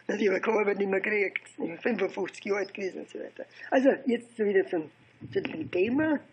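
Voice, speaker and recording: An elderly man with an Austrian accent and a husky voice, telling his recollections informally in a halting, digressive way with repeated phrases. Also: high voice, highs cut off, a little hiss or hum. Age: 70s or older. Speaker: interviewee recounting political and research past. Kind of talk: informal oral history storytelling.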